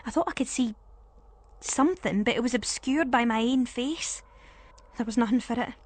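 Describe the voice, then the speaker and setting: High-voiced Scottish woman telling a ghost story. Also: normal speed. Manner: suspenseful, expressive. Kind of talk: first-person dramatic ghost story narration.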